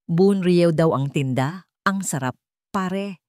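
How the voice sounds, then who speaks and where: A woman's voice: on the low side, slow pace, darkish tone, no echo, clean studio sound. Woman reading as casual, enthusiastic businessman. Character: casual, friendly male business associate.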